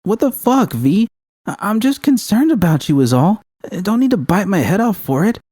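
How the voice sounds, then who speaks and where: A man's voice: sits high, very animated, moderate pace, warm tone, breathy edge, no room sound, studio quality, pitched above the performer's own voice, full character voice. A man voicing a blunt, worried, hot-tempered young woman who confronts her partner in audiobook dialogue. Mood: alarmed, hurt. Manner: profane, confrontational, pressing.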